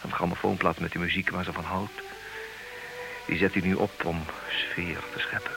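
A man's voice: sits low, flat delivery, normal speed, gravelly, music in the background, rough recording. A man playing a hesitant, resigned betrayed husband who stammers and repeats words, in in an old radio play. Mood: brooding. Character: hesitant, resigned husband who knows of his wife's infidelity. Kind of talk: old Dutch radio drama acting.

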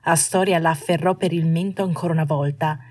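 A woman's voice: low voice, fairly even intonation, brisk, a little mellow, no room sound, studio quality. A woman reading a scripted story as an audiobook narrator.

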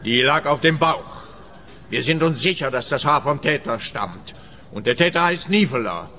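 An actor playing a dismissive, self-assured police officer in a radio play, curt and condescending. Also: male voice, fairly high pitch, lively intonation, normal speed, sharp tone. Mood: condescending, certain.

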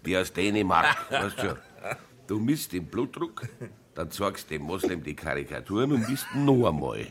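A smug, suspicious pub know-it-all from a radio crime play. His low Bavarian voice moves animatedly as he explains things with knowing certainty. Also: big pitch swings, normal speed, a bit bright, slight background noise.

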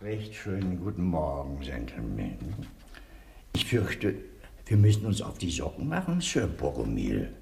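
An actor playing a mock-courteous prison sergeant in a radio drama, understated and dry. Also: male voice, rumbling low, wildly swooping pitch, measured pace, vocal fry, dark tone, full character voice. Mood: dry politeness. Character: polite, dryly ironic prison sergeant, middle-aged man.

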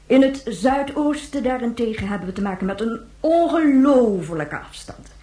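An actress playing a grave, controlled government spokeswoman in a radio drama, speaking formally and with measured emphasis. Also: a little high, animated, mellow, lightly acted. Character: grave, controlled female government official facing a press interview. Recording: roomy, echoing radio drama recording.

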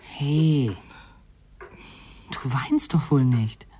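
A man's voice: medium pitch, drawn-out words, dark tone, a little hiss or hum. An actor playing a stubborn, disillusioned teenage boy in a radio drama, speaking with earnest determination. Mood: stubborn resolve. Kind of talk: German radio drama acting.

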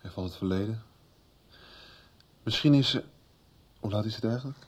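A man's voice: on the low side, moderate pace, lightly acted. An actor playing a blunt, sarcastic young man arguing with a friend.

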